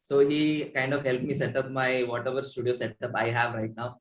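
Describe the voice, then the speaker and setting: A man's voice: mid-range voice, flat delivery, a little fast. A man in his twenties with an Indian accent, chatting casually as a musician guest in an interview, telling stories in a rambling way. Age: 20s. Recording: roomy, echoey sound.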